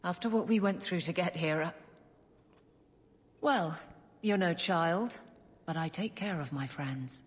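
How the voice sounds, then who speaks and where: An actress voicing a blunt, stern female guard captain in a fantasy video game, speaking in a gruff, matter-of-fact way. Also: lowish voice, a little slow, long pauses, in a large hall, natural voice. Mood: loyal. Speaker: city guard officer.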